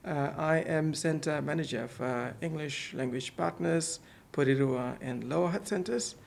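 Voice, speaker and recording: Gravelly-voiced man. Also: on the high side, a little slow. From Pakistan. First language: Urdu. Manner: hesitant, many fillers and repeated words. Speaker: guest, center manager. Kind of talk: casual podcast interview. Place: office.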